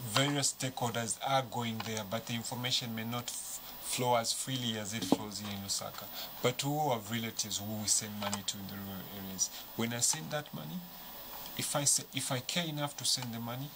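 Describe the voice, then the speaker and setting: A man's voice: lowish voice, noisy background. A Zambian man speaking as a guest on a TV talk show, earnest and persuasive. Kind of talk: TV business talk show interview.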